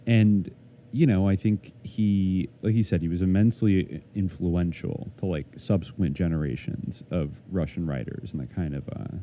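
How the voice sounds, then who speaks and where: A man's voice: sits low, moderate pace, dark tone, slight background noise. A man co-hosting a podcast, chatting casually and rambling with lots of "like" and "I guess". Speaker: podcast co-host. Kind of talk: casual chat.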